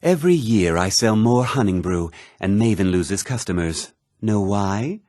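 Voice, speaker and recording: Casting: self-assured fantasy game thief, smooth talker, slightly low voice with animated swings. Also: male voice, unhurried, no room sound, studio quality. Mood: haughty. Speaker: video game voice actor. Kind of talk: scripted fantasy game character dialogue.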